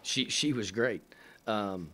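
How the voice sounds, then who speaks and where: Man, 40s, head coach, low voice, post-game press conference, table mic, stop-start talk with fillers, dry humor. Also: bright, forceful tone, a little hiss or hum. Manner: halting, many fillers and restarts, dry matter-of-fact humor. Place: press room in front of a sponsor backdrop. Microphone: table microphone.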